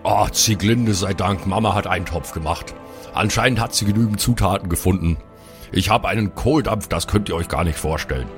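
Man playing a low-voiced, pompous bookish dwarf. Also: fairly quick, music in the background. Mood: hungry, weary. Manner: pompous, wordy, lecturing. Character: bookish, know-it-all dwarf brother, loves food. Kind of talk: improvised fantasy audio drama.

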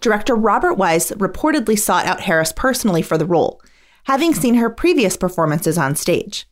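A woman's voice: medium pitch, big pitch swings, fairly quick. A woman reading scripted biographical narration as the host.

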